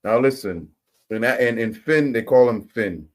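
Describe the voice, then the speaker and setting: A man's voice: medium pitch, expressive, measured pace. A man hosting a reaction video, talking loosely and repeating himself. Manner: loose, talkative, repeats words and uses slang. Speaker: host reacting to clips. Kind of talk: casual reaction commentary.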